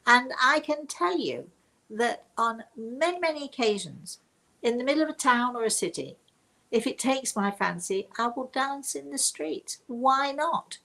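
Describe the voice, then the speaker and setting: Older woman storyteller with a creaky voice. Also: a little high, expressive, sharp tone, poor audio. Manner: emphatic, deliberate, with rhetorical questions and drawn-out words. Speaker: speaker and storyteller hosting her own show. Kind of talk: reflective personal storytelling addressed to viewers.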